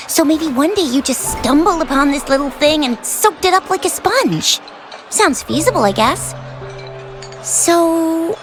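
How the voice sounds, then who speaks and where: A woman playing a spirited, teasing young companion in a video game, with a breezy, expressive manner. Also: high-pitched.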